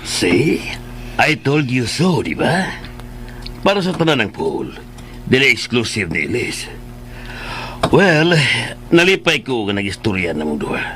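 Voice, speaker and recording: An affectionate middle-aged Filipino dad in a radio drama. His pitch is average but swings widely, with expressive, easygoing delivery that slips into English phrases. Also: measured pace, pauses often, a little hiss or hum. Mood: pleased, reassuring. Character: easygoing, affectionate father and office-working husband.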